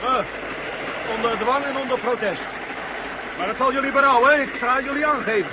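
A man's voice: very high voice, fairly quick. An actor playing a coarse, indignant brainwashed truck driver in a science-fiction radio drama, blustering as he talks. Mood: grudging. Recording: muffled, noisy old radio recording.

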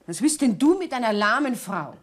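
A woman's voice: a little high, very animated, normal speed. An actress playing a practical, demanding Bavarian landlady in a plain-spoken, nagging way, in 1960s German radio drama style. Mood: impatient, pressing. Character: practical, demanding tavern landlady with a secret lover. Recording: noisy 1960s radio play recording.

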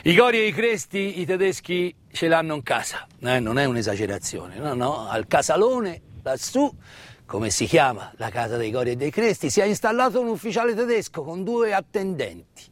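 A man tells a story of wartime history as a stage actor, with dramatic emphasis and deliberate pauses. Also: on the high side, sing-song. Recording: live music mixed under the voice. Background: live musical accompaniment.

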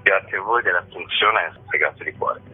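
A man's voice: low-pitched, normal speed, over music. A man explaining things as an interview guest, hesitantly searching for words, over a phone-like radio line. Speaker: festival artistic director, interview guest.

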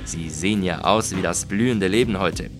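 A man voicing a cunning, vengeful gentleman in a story, flattering and coaxing with feigned concern for a friend. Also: low voice, moderate pace, over music, close to an everyday voice. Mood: feigned delight. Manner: flattering, falsely solicitous, coaxing. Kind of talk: dramatised audiobook reading.

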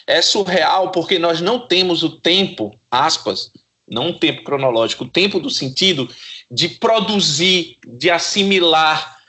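Brazilian man, academic interview guest. Slightly high, sharp-edged tone, reflective and philosophical delivery. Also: lively intonation, measured pace. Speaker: psychologist and professor, interview guest.

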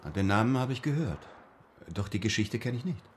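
A man's voice: lowish voice, lively intonation, a little fast, slight background noise, natural voice. An actor playing a man investigating among Ottoman painters in a radio drama, asking in a restrained, plain-spoken way. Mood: restrained, inquiring. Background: faint music.

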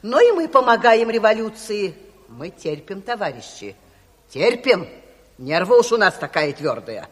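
An actress playing an earnest, simple working-class woman, folksy and excitable, in a Soviet radio play. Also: medium pitch, unhurried, slightly rough. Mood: earnest, proud. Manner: folksy, plain-spoken, excitable. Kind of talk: 1970s Soviet radio drama acting. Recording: reverberant hall, old radio play recording.

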